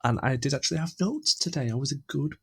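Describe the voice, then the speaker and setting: A man from England co-hosting a casual gaming podcast, running low on sleep and rambling in an animated, self-deprecating way. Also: fast talker, breathy edge. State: tired from lack of sleep. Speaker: podcast co-host.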